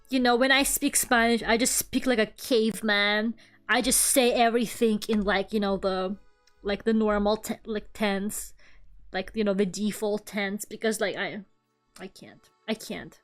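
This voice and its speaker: A woman whose first language is Russian, with a mixed Russian and British accent, chatting casually and self-deprecatingly while drawing on a stream. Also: fairly high pitch. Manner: chatty, self-deprecating, laughs often, many fillers.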